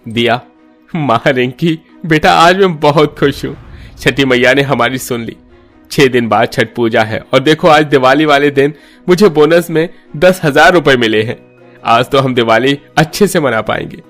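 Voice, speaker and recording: An actor playing a humble, caring young husband in a cartoon story, soft-spoken and earnest. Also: male voice, mid-range voice, moderate pace, soft background music, close to an everyday voice. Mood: joyful.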